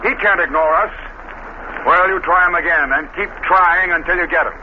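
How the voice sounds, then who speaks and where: An actor playing a gruff, brusque and impatient male police commissioner in a 1940s radio drama. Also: high voice, normal speed, natural voice. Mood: brusque impatience. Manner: brusque, commanding. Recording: tinny, noisy old radio recording.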